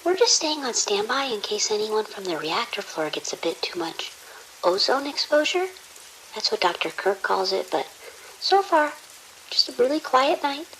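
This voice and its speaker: A woman's voice: mid-range voice, very animated, normal speed, a bit bright, poor audio. A woman playing a conscientious, polite research doctor on night duty in an audio drama, speaking precisely. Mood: calm, polite. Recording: faint sizzling hiss.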